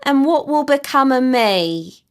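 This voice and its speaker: A woman's voice: high-pitched, expressive, a little slow, voice pushed up. A woman voicing a weary, self-pitying, very old male tortoise in a children's storybook, complaining in a laboured, plaintive way. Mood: plaintive, gloomy.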